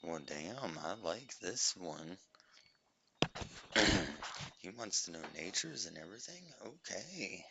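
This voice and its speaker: A man with a raspy voice, chatting casually to viewers as he plays through a game. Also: low voice, sing-song, slow pace, pauses often, crowd noise. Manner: chatty, talking to viewers while playing. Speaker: gameplay narrator. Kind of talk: casual let's-play commentary. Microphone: cheap microphone.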